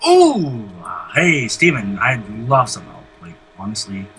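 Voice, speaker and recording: A man's voice: medium pitch, wildly swooping pitch, measured pace, fairly bright tone, rough recording. A man chatting casually as a gaming sidekick, with playful, mock-solemn remarks. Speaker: co-host friend. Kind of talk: casual joking chat while gaming.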